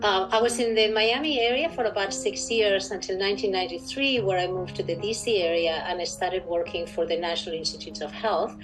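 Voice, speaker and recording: A woman's voice: mid-range voice, a little fast, hardly any pauses, music in the background, low-quality recording. A woman in her sixties from Spain with a Spanish accent, giving formal interview answers as an expert guest. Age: 60s. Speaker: interview guest, clinical research director.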